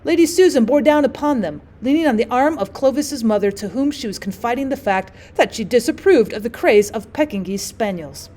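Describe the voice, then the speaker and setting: A woman's voice: fairly high pitch, lively intonation, a little fast, few pauses, dark tone. A woman reading a classic short story aloud as scripted audiobook narration, like a teacher for her students.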